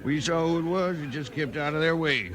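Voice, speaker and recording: A man's voice: on the high side, a little fast, a crowd in the background, close to an everyday voice. An actor playing an agitated, rustic Old West townsman in a 1950s radio western, folksy and emphatic. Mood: agitated, bitter.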